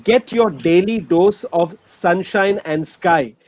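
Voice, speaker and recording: Doctor, adult male, high voice, practical list-style advice. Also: takes it slowly, darkish tone, dry recording, poor audio. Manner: simple, practical advice in short lists. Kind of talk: online panel discussion.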